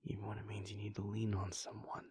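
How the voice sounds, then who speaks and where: A man voicing a weary, devoted male vampire prince in a soft, intimate whisper, speaking in slow, halting, heartfelt confessions. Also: fairly low pitch, monotone, breathy edge. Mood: tender, hushed. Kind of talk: whispered audio roleplay.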